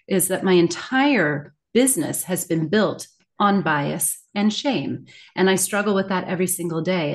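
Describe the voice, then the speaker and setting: A middle-aged woman speaking reflectively as an interview guest, earnest, articulate and emphatic in her delivery. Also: lowish voice. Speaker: guest, communication coach and author.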